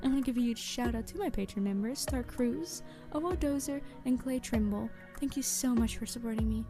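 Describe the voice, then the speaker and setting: A woman's voice: a little high, fairly quick, over music. A woman audio roleplay creator speaking to her listeners in a channel outro, thanking supporters.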